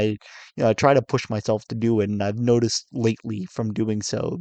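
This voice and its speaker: A tired young man in his twenties, coming down with a cold, with a low raspy voice, talking hesitantly and candidly to his viewers. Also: no room sound. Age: 20s. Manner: hesitant, soft-spoken, many fillers and restarts. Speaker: YouTuber. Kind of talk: candid confessional vlog.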